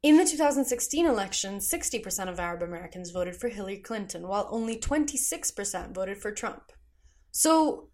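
A woman hosting a news podcast, reading scripted narration in a measured, even way. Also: on the high side.

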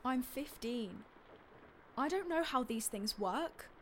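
A woman's voice: high-pitched, lively intonation, slow pace, full character voice. A woman playing an upset, defensive teenage girl vlogger, pleading and indignant, in an audio drama. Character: defensive, upset fifteen-year-old girl beauty vlogger.

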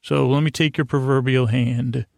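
A man hosting a bedtime podcast in a rambling monologue, halting and often trailing off mid-thought, with a gravelly voice. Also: medium pitch, fairly even intonation, a little mellow, no echo. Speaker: podcast host. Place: podcast studio.

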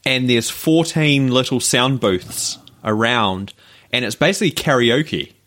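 A man's voice: medium pitch, measured pace, fairly bright tone. A man with a New Zealand accent chatting casually as the co-host of a podcast. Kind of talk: casual chat.

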